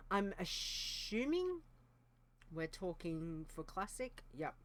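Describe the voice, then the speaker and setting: A woman's voice: medium pitch, sing-song, slow, no room sound. A woman co-hosting a podcast in casual chat, thinking aloud with frequent ums and restarts. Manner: hesitant, thinking aloud with many fillers. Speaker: podcast co-host.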